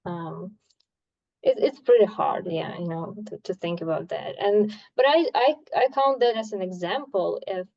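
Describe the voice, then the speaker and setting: Woman, halting, searching for words, warm dark voice, muffled audio. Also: medium pitch, vocal fry. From Ukraine. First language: Ukrainian. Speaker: study group member. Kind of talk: casual group discussion.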